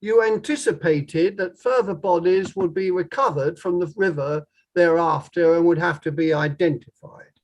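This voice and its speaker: A man playing a formal, probing barrister who questions in a measured way, in a table read of a courtroom play. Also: high voice, darkish tone. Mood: methodical questioning. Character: probing male inquiry barrister, formal and methodical.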